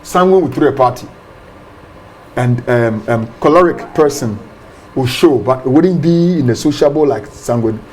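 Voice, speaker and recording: Animated pastor explaining. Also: male voice, mid-range voice, moderate pace, a little hiss or hum, rough recording. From Ghana. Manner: animated, repeats words, acts out examples. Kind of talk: explanatory interview talk.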